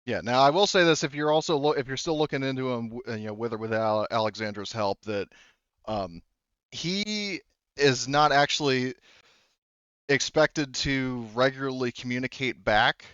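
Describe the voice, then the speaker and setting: A man with a clean, resonant voice at a middling pitch acts as game master for a tabletop game. He explains in stops and starts, circling back to reword himself. The sound is very dry and a little dull. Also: a bit bright, clear, resonant tone, dry recording. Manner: halting, repeats and rephrases mid-sentence. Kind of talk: explaining plot while running a tabletop roleplaying game.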